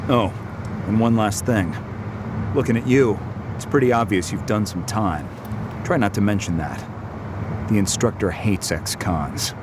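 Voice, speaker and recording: Give me a man playing a low-voiced, casual security recruiter. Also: measured pace, lightly acted. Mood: matter-of-fact. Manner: casual, matter-of-fact, wry. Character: gruff, chatty male security recruiter, sizing people up. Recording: noisy outdoor ambience behind.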